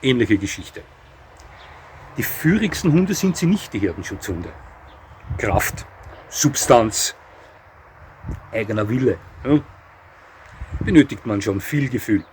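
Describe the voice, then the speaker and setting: A man's voice: mid-range voice, lively intonation, takes it slowly, long pauses, slight background noise. A man giving spoken commentary, frank and matter-of-fact, with many fillers and self-corrections. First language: not Portuguese. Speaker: dog breed commentator. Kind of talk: spoken commentary on a breed ranking.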